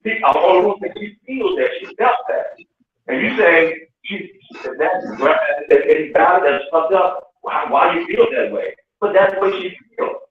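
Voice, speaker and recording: A man's voice: high voice, moderate pace. A man in casual group discussion on a remote call, rambling, repeating himself and swearing. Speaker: podcast participant. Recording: distant, echoey, phone-like line.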